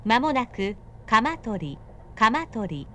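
A woman's recorded voice giving automatic train announcements in smooth, evenly paced, clipped phrases. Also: a little high, sing-song, extremely slow, fairly bright tone, slight background noise. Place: inside a moving commuter train.

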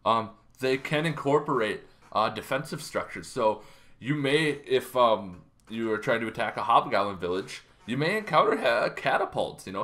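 Bright-voiced man, casual explainer. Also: fairly low pitch. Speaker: host of a tabletop roleplaying lore show. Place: bedroom, indoors.

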